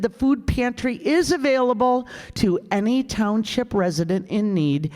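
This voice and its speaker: A woman's voice: mid-range voice, a little mellow. Woman making announcements in an echoey hall. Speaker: host of community radio-play group. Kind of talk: unscripted closing announcements to an audience. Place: town hall stage before an audience. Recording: echoey hall, long reverb.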